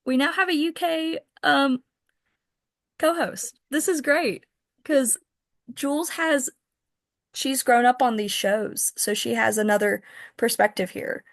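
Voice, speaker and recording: A woman's voice: high-pitched, slow pace, long pauses, no echo, studio quality. A woman hosting a podcast in casual conversation. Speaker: podcast host. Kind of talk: casual chat.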